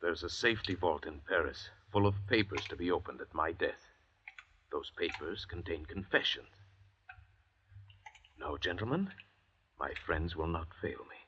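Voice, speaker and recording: A condescending gentleman murderer in a radio play. His voice is very low and sweeps widely as he holds forth in formal, grand phrases. The old recording hisses and clicks. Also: expressive, normal speed, long pauses, muffled recording. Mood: assured, ominous. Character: haughty, cultured French doctor, a convicted murderer. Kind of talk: old-time radio drama acting.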